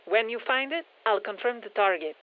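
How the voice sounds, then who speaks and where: A woman playing a brisk, tech-minded science operative in a video game, giving matter-of-fact, wry mission instructions over a radio filter. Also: on the high side, lively intonation, no echo, narrow, tinny audio. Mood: brisk, focused. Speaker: mission handler. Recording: thin band-limited radio comms sound.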